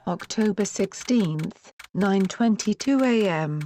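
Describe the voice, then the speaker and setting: A woman reading scene captions as a measured narrator in a game fandub. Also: medium pitch, expressive, mellow, a little hiss or hum.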